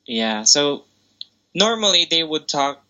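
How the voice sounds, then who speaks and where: A man's voice: on the high side, very animated, takes it slowly, bright, forceful tone, lo-fi sound. A man tutoring English in a casual conversation lesson, explaining patiently and coaching his student.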